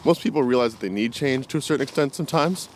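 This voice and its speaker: Male vlogger, twenties, mid-pitched, unscripted and reflective, rambling delivery, recorded outdoors with faint ambient noise. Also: fast. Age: 20s. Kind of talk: casual unscripted talk to camera. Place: outdoors, walking in woods. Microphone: camera carried while walking.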